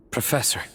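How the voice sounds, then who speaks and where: An actor playing a composed, reserved young warrior in a video game, speaking with deadpan restraint. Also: male voice, a little hiss or hum. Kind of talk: understated video game voice acting.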